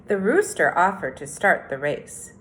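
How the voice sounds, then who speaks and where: Woman teacher with lively, swooping intonation. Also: fairly low pitch, a little hiss or hum. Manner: deliberate, instructive, addressing young children. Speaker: elementary school teacher. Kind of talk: children's lesson and story read-aloud.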